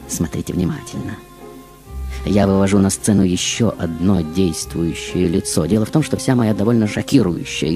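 A man playing an aged, reclusive female film star who recounts her life in a deliberate, declamatory stage monologue. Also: low voice, mellow. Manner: declamatory, deliberate, addressing the audience. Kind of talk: theatrical monologue, stage storytelling.

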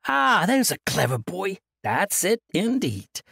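A man's voice: high-pitched, wildly swooping pitch, takes it slowly, gravelly, bright, forceful tone, slightly rough, no room sound, studio quality, pitched above the performer's own voice, exaggerated voice. A man voicing an eccentric, cheerful old monk with a sing-song, scatterbrained manner. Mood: jovial.

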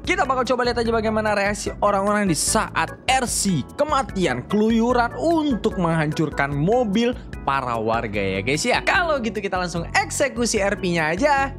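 A male gaming host. He talks in a playful, slangy way with swooping, lively pitch. Music plays clearly behind his commentary. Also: moderate pace. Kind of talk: casual gameplay commentary.

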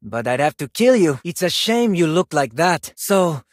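A husky, flamboyant Spanish dancer. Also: male voice, a little high, sing-song, normal speed, no echo, clean studio sound. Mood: vain, playful. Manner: theatrical, self-admiring, dramatic. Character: vain, flamboyant, graceful Spanish male ballet dancer. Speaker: video game voice actor. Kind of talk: video game character voice lines.

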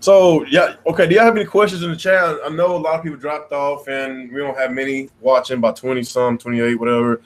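An American man in his thirties hosting a casual gaming podcast with informal slang and animated banter. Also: medium pitch, fast talker, few pauses, slight background noise. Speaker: podcast host. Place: small game room at home, indoors.

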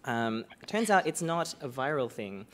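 A man's voice: mid-range voice, big pitch swings, unhurried, fairly bright tone. A man with a cough speaks on a theatre stage with faint hiss behind him. He rambles in a chatty, self-deprecating way. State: has a cough. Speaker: panelist, screen representation expert. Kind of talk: casual panel talk. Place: theatre stage before an audience.

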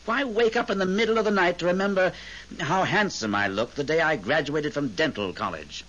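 An actor playing a jaded, sardonic long-dead ghost in a radio drama, urbane, dry and world-weary. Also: male voice, a little high, lively intonation, fairly quick, fairly bright tone. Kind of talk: 1970s radio drama acting. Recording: muffled, hissy old radio recording.

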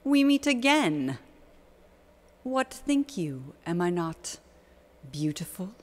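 A man's voice: high-pitched, big pitch swings, slow, voice pushed up, exaggerated voice. A man voicing an ageless, evil immortal queen in an audiobook, with a scornful, imperious manner. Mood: sneering. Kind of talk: audiobook character voice. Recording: long hall-like reverb.

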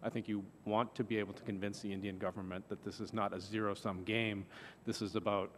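Lower-voiced male witness, stammering restarts. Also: level tone, fairly quick, fairly bright tone, a little hiss or hum. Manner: repetitive stammering restarts, gesturing. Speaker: witness at a hearing. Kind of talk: testimony. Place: large wood-panelled hearing room. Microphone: desk microphone. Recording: long reverb of a large hearing room.

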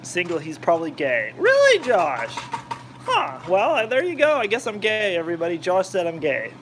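A man's voice: sits high, huge pitch swings, normal speed, fairly bright tone, a little hiss or hum. A man rambling and joking with his live chat while cooking in his home kitchen. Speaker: cooking vlogger. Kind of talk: casual cooking livestream chat.

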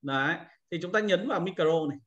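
High-pitched man giving a software tutorial. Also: quick pace, lo-fi sound. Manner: step-by-step, repetitive explaining. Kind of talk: informal screen-recorded tutorial.

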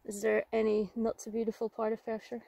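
A woman hiking outdoors and casually commenting on the trail and the views, often quiet and half-whispered. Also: on the high side, monotone, a little fast, creaky, no room sound. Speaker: hiking vlogger. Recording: outdoor noise behind.

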